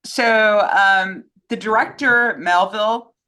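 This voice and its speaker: A woman's voice: medium pitch, slow, sharp tone. A woman co-hosting a casual chat and putting questions to her partner.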